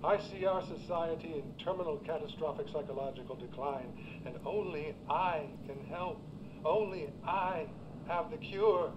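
An actor playing a pompous, theatrical middle-aged celebrity psychiatrist, a grandiose villain in a video game. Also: male voice, fairly high pitch, normal speed, lo-fi sound, noisy background, natural voice. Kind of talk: video game cutscene acting.

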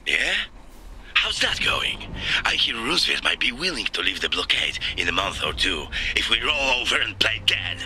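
An actor playing a fierce, defiant middle-aged rebel commander in a video game, gruff, blunt and commanding. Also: male voice, on the low side, very animated, normal speed, pushed and bright, a little hiss or hum, full character voice. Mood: scornful defiance. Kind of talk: dramatic video game voice acting.

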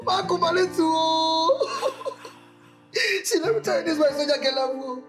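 A man acting a dramatic, self-pitying young man in a comedy skit, lamenting repetitively in a whiny, theatrical Nigerian-accented voice. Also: very high voice. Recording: guitar music behind the voice.

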